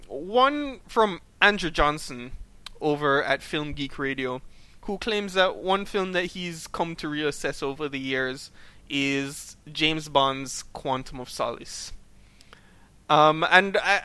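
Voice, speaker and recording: A man's voice: on the high side, bright, forceful tone, clean tone, no room sound. A man hosting a movie podcast, enthusiastic and ready to argue, reading out listener responses. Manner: enthusiastic, argumentative. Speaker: podcast host. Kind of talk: casual chat and listener questions.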